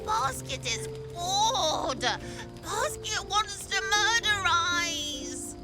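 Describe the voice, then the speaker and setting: A hungry, feral little creature for a fantasy game. It squeaks very slowly in greedy, childlike broken phrases at an extremely high pitch, with very loud music. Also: female voice, lively intonation, exaggerated voice. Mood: gleeful menace. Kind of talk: comic video game creature acting.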